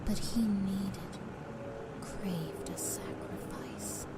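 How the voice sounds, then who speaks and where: A woman's voice: mid-range voice, very slow. An actress playing the pleading ghost of a murdered young woman in a horror audio drama, whispery and urgent. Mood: eerie, urging.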